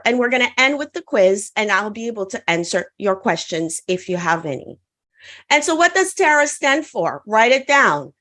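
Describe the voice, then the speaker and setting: A Caribbean woman, French by first language, coaching an online conference audience. Her fairly high voice swings up and down with lively energy as she walks listeners through her method. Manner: upbeat coaching, asks audience to respond in chat. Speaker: conference speaker.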